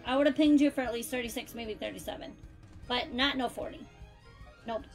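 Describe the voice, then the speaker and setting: A woman's voice: a little high, a little fast, soft background music. A woman in her thirties chatting casually with friends while streaming a game, filling her talk with "like". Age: 30s. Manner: chatty, fills speech with "like". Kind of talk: casual chat while gaming.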